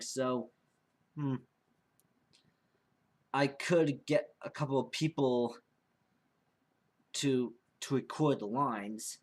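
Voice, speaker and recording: Rambling, halting young man, casual vlogger. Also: medium pitch. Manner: rambling, halting, repeats and restarts phrases. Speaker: amateur podcast creator. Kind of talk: casual unscripted vlog monologue.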